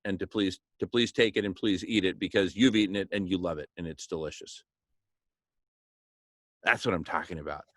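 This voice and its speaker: A man talking informally and enthusiastically like an organizer pitching a plan, emphatic and jokey, often checking for agreement. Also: fairly even intonation, fairly quick, pauses often, a bit bright, no room sound, clean studio sound. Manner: enthusiastic, emphatic, repeatedly seeking agreement with tag questions; jokey, chuckles at his own remarks. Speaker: organizer of a food charity project. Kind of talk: informal motivational planning talk.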